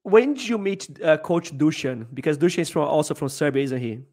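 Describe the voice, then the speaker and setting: Brighter-voiced host on a headset call. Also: male voice, on the high side, big pitch swings, brisk. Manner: enthusiastic questioner, some restarts. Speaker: podcast host. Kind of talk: video-call interview. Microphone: headset on a video call.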